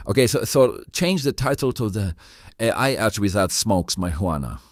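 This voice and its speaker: Male host, very low voice in a dry recording, stop-start and repetitive delivery. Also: big pitch swings, no echo. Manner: halting, repetitive, insistent. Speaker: podcast host. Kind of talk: casual interview chat.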